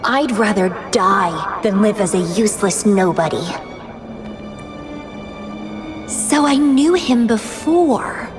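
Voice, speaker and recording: A woman's voice: mid-range voice, lively intonation, a little slow, over music, close to an everyday voice. A woman voicing an enigmatic, mischievous young woman warrior in a video game, with a theatrical, teasing delivery that savours words. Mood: defiant, thrilled. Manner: theatrical, teasing, savouring her words, given to musing aloud. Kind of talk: video game character acting.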